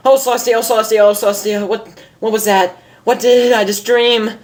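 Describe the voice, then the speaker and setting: A man's voice: high-pitched, fairly even intonation, brisk, voice pushed up, natural voice, rough recording. A man voicing a frantic, lovesick young female pegasus pony in a storybook reading, stammering and exclaiming in panic. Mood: panicked.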